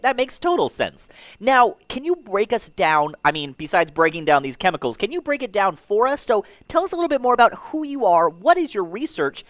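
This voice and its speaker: A man's voice: sits high, fairly bright tone, no room sound. A man hosting an educational program in a playful, jokey way.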